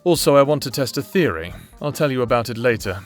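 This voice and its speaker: A man playing an earnest, reserved private investigator in an anime roleplay audio drama, speaking in measured, formal phrases. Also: medium pitch, expressive, faint music behind, lightly acted. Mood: matter-of-fact. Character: earnest male private investigator, reserved, secretly fond of client.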